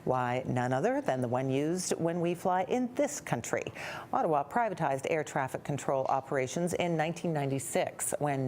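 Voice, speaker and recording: A woman's voice: low-pitched, darkish tone, slight background noise. A woman with a Canadian accent, hosting a broadcast news interview. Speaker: TV news host.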